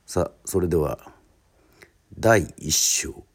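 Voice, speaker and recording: A man from Yoron Island in Japan hosting and narrating, introducing a radio drama in a formal, deliberate announcer-like way with pauses. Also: low-pitched, slightly rough.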